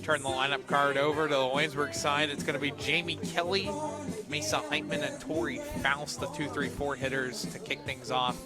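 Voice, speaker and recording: A man with a fairly high voice works as a play-by-play announcer on a live softball broadcast. He gives clipped, present-tense calls that lift when the ball is in play. There is clear, constant sound behind him, as from an outdoor radio broadcast. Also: fairly even intonation, normal speed, over loud music.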